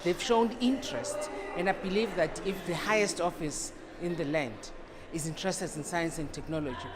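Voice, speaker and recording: A woman speaking hopefully and deliberately in an event interview. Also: fairly low pitch. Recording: noisy event recording.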